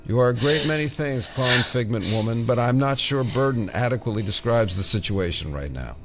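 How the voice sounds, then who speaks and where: An actor playing a wry, exasperated federal agent in an audio drama, with dry sarcasm. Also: male voice, mid-range voice, expressive, fairly quick, noisy background, lightly acted. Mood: sardonic, exasperated.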